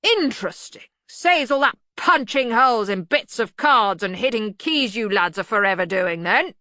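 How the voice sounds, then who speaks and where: A woman performs a bluff older male wizard in charge, from a comic fantasy audiobook. He blusters loudly and bluntly, missing the point. The delivery is forceful and swoops widely, in a dry recording. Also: fairly high pitch, lively intonation, normal speed, no room sound, pitched above the performer's own voice. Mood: blustering, hearty. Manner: blunt, bellowing, obtuse. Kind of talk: acted audiobook dialogue.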